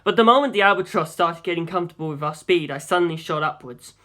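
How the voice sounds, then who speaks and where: A man's voice: on the high side, fast talker, bright, forceful tone. A man reading a first-person story aloud as an audiobook narrator.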